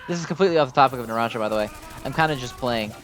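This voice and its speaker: A man's voice: mid-range voice, rapid-fire. Rambling man over game music. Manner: rambling, self-deprecating, informal fan talk. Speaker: gaming video host. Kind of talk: casual unscripted gameplay commentary.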